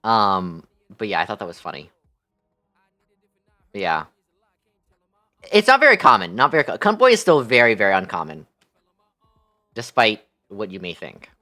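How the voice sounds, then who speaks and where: A man's voice: lowish voice, wildly swooping pitch, moderate pace, long pauses, bright, forceful tone, faint music behind. A young man livestreaming, chatting casually with his viewers in a playful, affectionate manner.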